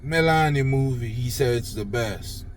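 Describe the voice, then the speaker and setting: Slow sarcastic Jamaican man ranting. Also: medium pitch, slight background noise. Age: older. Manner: sarcastic, mocking praise; blunt, swears freely. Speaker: political commentator. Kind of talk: unedited political rant. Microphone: phone.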